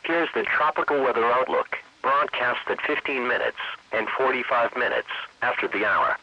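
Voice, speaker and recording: Husky synthetic male forecast reader. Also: medium pitch, moderate pace. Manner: formulaic, impersonal synthetic reading. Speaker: automated weather radio announcer. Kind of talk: scripted forecast narration. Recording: dull lo-fi weather radio stream.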